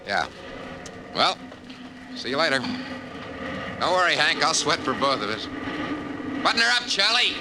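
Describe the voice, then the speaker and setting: Wry test pilot, very noisy recording. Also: male voice, a little high, very animated, unhurried, pauses often. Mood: wry, casual. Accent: American. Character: brave, wry male rocket test pilot. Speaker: actor in a radio drama. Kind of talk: 1950s American radio acting. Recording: very noisy old 1950s radio recording.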